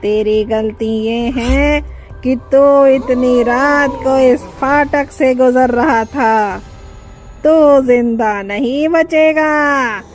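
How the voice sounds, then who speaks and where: A menacing, cackling witch from a horror tale. The voice is high and gloating, stretching every word extremely slowly as it taunts. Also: faint music behind. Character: menacing cackling female witch haunting railway tracks. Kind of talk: horror story acting.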